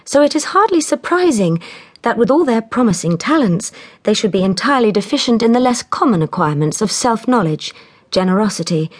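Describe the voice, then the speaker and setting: An actress as a composed, wry storyteller, narrating a period literary drama in a measured way. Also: female voice, on the high side, big pitch swings. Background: music.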